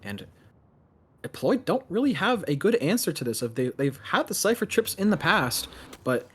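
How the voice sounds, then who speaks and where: A man's voice: high-pitched, big pitch swings, normal speed, a bit bright, slightly rough. A man giving live play-by-play and analysis as an esports commentator.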